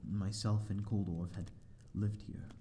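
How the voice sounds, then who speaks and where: A man's voice: on the low side, flat delivery, a little slow, warm tone, slight background noise. A man playing a lonely, courteous vampire in an audio roleplay, speaking in formal, old-fashioned, hesitant phrases to the listener. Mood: mournful, resigned. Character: lonely, courteous male vampire living in an abandoned manor.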